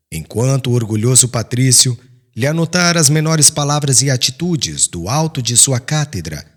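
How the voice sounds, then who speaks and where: Expressive male audiobook narrator. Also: medium pitch. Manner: solemn, literary, carefully phrased. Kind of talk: dramatic scripted narration. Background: soft background music.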